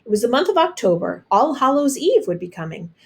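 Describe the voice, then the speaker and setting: A woman reading a children's picture book aloud as an expressive storyteller. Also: fairly high pitch, a little fast, gravelly.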